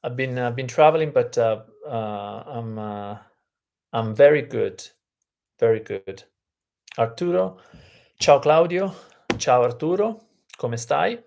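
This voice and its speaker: A man who is a native Italian speaker, coaching on a live-stream lesson in an unhurried way, with many ums and restarts. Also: mid-range voice, lively intonation, vocal fry, a little mellow. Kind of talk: live-stream lesson, reading chat aloud.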